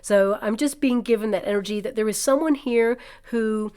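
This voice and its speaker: A woman talks through a tarot reading in a loose, chatty way, reassuring her listeners and filling the gaps with ums and asides. Her voice is a little croaky from a cold that is coming on. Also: on the high side, moderate pace, a little mellow. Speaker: tarot reader. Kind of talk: casual tarot reading to camera. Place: indoors at a table covered with cards and crystals.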